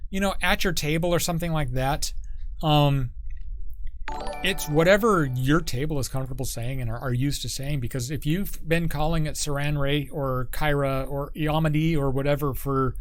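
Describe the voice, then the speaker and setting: A man's voice: fairly high pitch, normal speed, soft background music. A man speaking as a guest game developer on a livestream, explaining things informally and at length with playful asides. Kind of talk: casual livestream chat.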